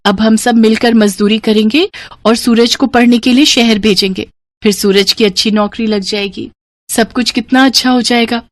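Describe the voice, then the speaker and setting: A woman's voice: fairly high pitch, fairly even intonation, moderate pace, no echo, natural voice. A woman voicing devoted, protective village sisters in a moral cartoon, speaking in an earnest, emotional way. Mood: cheerful, hopeful. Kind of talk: Hindi moral cartoon dubbing.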